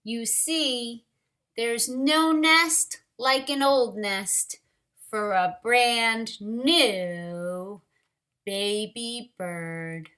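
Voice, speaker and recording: Storybook mother bird, read by a woman: contented and gentle, bright voice, very unhurried delivery. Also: a little high, full character voice. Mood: tender.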